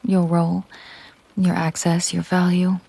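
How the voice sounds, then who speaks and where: A woman playing a possessive, menacing mafia boss girlfriend in an intimate audio roleplay, speaking in a controlled, hushed, deliberate way with a breathy, husky voice. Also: on the low side, completely flat delivery, full character voice. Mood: protective, commanding. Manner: slow, deliberate, hushed, often breathy near-whisper.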